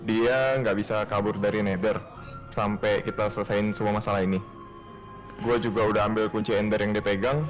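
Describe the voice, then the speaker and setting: A man playing a calm, all-knowing dragon god in a Minecraft roleplay, explaining things bluntly in a measured way. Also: fairly low pitch, lightly acted. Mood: matter-of-fact. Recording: music behind the voice.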